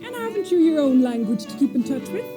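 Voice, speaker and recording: A woman's voice: high-pitched, sing-song, fast, over music. A woman playing a fervent young nationalist who presses a man with pointed questions. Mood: needling. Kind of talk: staged reading of a short story scene.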